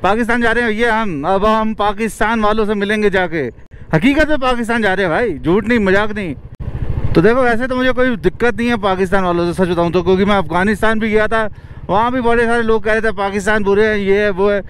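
A young man casually narrating a travel vlog outdoors while riding a motorbike, chatty and excited. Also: high-pitched, fast talker, a little hiss or hum. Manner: chatty and excited, keeps saying "yaar". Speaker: travel vlogger. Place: outdoors, riding a motorbike through a mountain valley.

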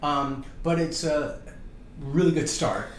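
Guy in his 50s, chiropractor talking patient thru it, voice a bit high, roomy echo. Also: takes it slowly. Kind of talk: casual hands-on explanation to a patient. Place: small treatment office, indoors. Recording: echoey room, background noise.

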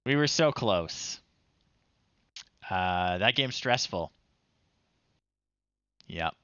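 A middle-aged man giving casual, low-key live commentary as he plays retro games, often muttering to himself. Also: animated, extremely slow, a bit bright, no echo, dull audio. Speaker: livestream host playing retro games. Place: small room at home, indoors.

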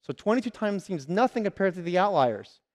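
A man's voice: high-pitched, sing-song, brisk. A man in his thirties giving a conference keynote on a large stage through a headset mic, telling stories and acting out customers and salespeople. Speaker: keynote speaker.

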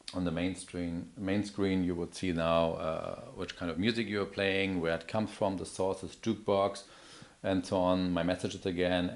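A man with a low voice walks through a product demonstration. He speaks unscripted, in a hesitant, explanatory manner, as a presenter showing how something works. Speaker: product demonstrator, account manager. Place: indoor office or showroom.

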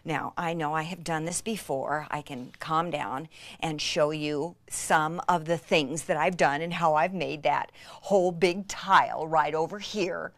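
A woman giving an enthusiastic hands-on craft demonstration, gushing and breathlessly excitable, with hushed asides. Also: low voice, unhurried, no echo. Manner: gushing, breathlessly excited, with frequent gasps and exclamations.

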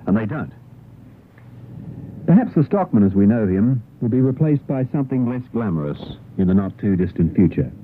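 An Australian man presenting a radio programme, reading a scripted narration about folk songs. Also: fairly low pitch. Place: radio broadcast. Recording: narrowband, noisy 1960s shortwave radio broadcast.

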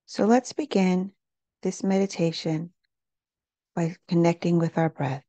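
A woman leading a guided meditation in a gentle, unhurried, measured way, leaving pauses between phrases. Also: on the low side, fairly even intonation, no room sound. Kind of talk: guided meditation, scripted narration.

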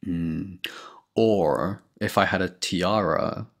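A young man speaks close to the mic in a low, gravelly voice that sits deep in his chest. He talks slowly and playfully, teasing and laughing softly, warm and affectionate like a boyfriend at bedtime. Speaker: boyfriend roleplay performer. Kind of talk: ASMR boyfriend roleplay.

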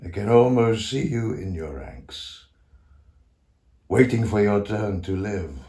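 An actor playing an aged, grandiose Italian composer near death, confiding in a theatrical stage monologue with a creaky voice and self-mocking manner. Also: male voice, fairly low pitch, expressive, slow pace, exaggerated voice. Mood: grand summoning. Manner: theatrical, declamatory, swinging to hushed asides.

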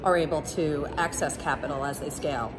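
A woman in her thirties answering interview questions in a friendly, measured way with occasional pauses, recorded on a phone held selfie-style in a large indoor lobby. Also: sits low, crowd noise. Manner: friendly, measured, a bit halting. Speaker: guest, banker. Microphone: host's phone held selfie-style. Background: background music.

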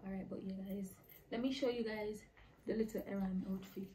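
A woman's voice: mid-range voice, fairly even intonation, fairly quick, mellow. A woman in her early twenties with a Jamaican accent, chatting casually as a vlogger into a phone. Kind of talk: casual vlog chat. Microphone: phone, handheld or propped nearby. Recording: faint background noise on phone recording.

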